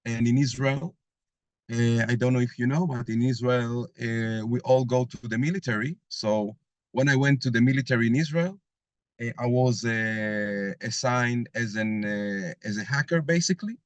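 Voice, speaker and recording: A man's voice: medium pitch, moderate pace, clear, resonant tone, no room sound. Hesitant man presenting a webinar. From Argentina. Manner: hesitant, many filler sounds. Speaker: lead instructor.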